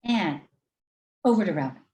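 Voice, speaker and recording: A woman's voice: lowish voice, lively intonation, moderate pace, dark tone, roomy sound. A woman giving brief, emphatic closing remarks on a video call. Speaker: guest speaker.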